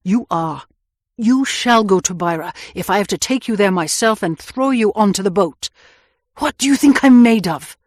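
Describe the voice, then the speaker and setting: A woman voicing an anguished young man on the run, who swings from vehement outbursts to hushed, tender pleading in a husky, breathy voice. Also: on the low side, slow pace, no room sound, clean studio sound, heavily acted. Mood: insistent. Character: anguished young man, hunted fugitive, passionate and brooding.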